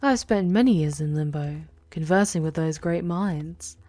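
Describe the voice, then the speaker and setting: A woman playing a charming demon queen who guides a traveller through hell in an ASMR roleplay, speaking in a formal, theatrical, deliberate way with breathy near-whispers. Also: low voice, mellow. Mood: teasing, measured. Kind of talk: ASMR roleplay, dramatic monologue addressed to the listener.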